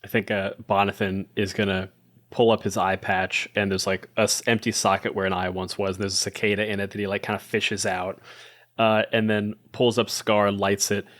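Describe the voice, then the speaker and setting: A man's voice: lowish voice, flat delivery, normal speed, a bit bright. A man speaking casually as a guest player, narrating his moves and chatting about a tabletop roleplaying game. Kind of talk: casual tabletop play and chat.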